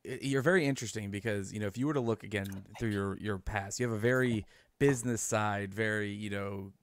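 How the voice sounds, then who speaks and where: A man's voice: fairly low pitch, a little fast, a little hiss or hum. A man in his thirties casually putting questions to a guest as a podcast host. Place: home room, video call. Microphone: studio microphone on arm, close.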